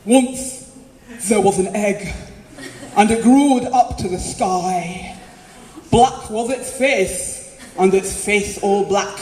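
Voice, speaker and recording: A woman's voice: takes it slowly, darkish tone, slight background noise, lightly acted. Woman doing a lisping Yorkshire toddler. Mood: solemn. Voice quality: deep with a lisp. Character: two-year-old boy in a nappy, big-headed, deep lisping voice. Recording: echoey venue from a distance.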